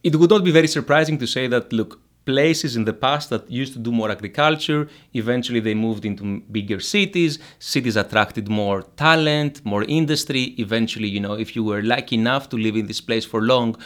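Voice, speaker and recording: A man talks through his research at a mid pitch. He hesitates often, circling back with fillers and restarts as he works out each point. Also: a little fast, few pauses, fairly bright tone. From Greece. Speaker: economics researcher, guest. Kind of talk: academic interview.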